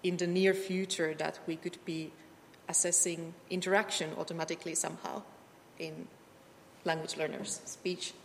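A woman moderating a panel with soft, measured questions. Her voice comes from some way off in a room with a long reverb, and a faint hiss runs underneath it. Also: on the low side, little pitch movement, gravelly. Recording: distant echoey room with faint noise.

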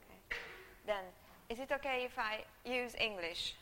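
A Hungarian woman in middle age, a native Hungarian speaker, lectures to a live class through a room microphone. Her voice is bright and forward, and her manner is chatty, with frequent check-ins with the audience. A long hall reverb trails her words. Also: on the high side, moderate pace, a little hiss or hum. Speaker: university lecturer.

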